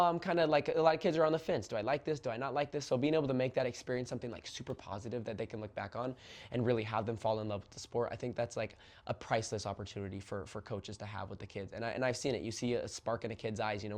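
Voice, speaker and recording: Young guy from oregon, soccer coach, talks fast, earnest, lots of ums and restarts. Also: on the low side, steady tone, darkish tone. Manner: earnest, fast talker, many fillers and restarts. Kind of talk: casual interview, personal story.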